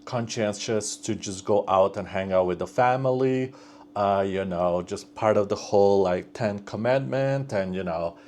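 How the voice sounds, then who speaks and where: A man's voice: lowish voice, measured pace, darkish tone, a little hiss or hum. A young man chatting casually on his vlog, rambling with lots of fillers and restarts. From Bosnia and Slovenia region, raised in North America from thirteen. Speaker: vlogger. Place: at home, working on a build project.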